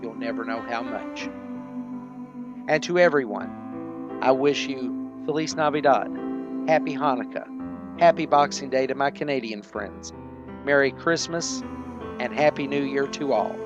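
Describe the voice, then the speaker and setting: A man hosting a show, giving a quiet, sincere sign-off to his listeners with music behind him. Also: medium pitch, unhurried, pauses often, dull audio. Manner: quiet, earnest. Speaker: show host.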